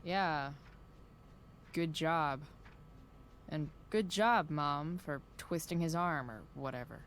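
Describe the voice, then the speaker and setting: A woman's voice: low-pitched, slow pace, fairly bright tone, a little hiss or hum. A young woman playing a sullen, sarcastic teenage girl in a video game, with a flippant, dry delivery. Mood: dry sarcasm. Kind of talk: naturalistic video game voice acting.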